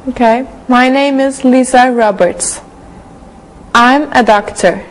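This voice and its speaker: A woman in her twenties speaks slowly in short, simple sentences, as in a classroom role-play. Her voice is high. She is recorded on a TV studio set. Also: a little hiss or hum, low-quality recording. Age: 20s. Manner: slow, simple, careful sentences. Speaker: student.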